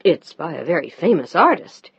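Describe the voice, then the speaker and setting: Lower husband voice, curt, woman reader putting it on. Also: big pitch swings, moderate pace, breathy edge, dry recording, dull audio, heavily acted. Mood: annoyed. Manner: low, curt, annoyed. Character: man, a husband, tired then tender.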